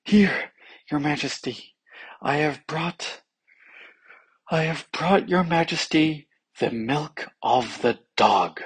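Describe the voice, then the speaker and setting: A storyteller voicing a dazed, unsteady, fearful male officer with a husky voice. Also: medium pitch, takes it slowly, no room sound, voice pushed up. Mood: dazed, faltering.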